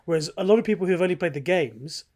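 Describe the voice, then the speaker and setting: Higher-voiced man giving casual opinions. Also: expressive, racing, a little mellow. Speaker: podcast co-host. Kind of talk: casual, opinionated podcast chat.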